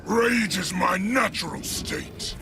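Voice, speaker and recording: A man playing a raging, stern alien warlord in a video game with forceful, declamatory speech. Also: high-pitched, takes it slowly, very noisy. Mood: wrathful. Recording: game audio under loud music.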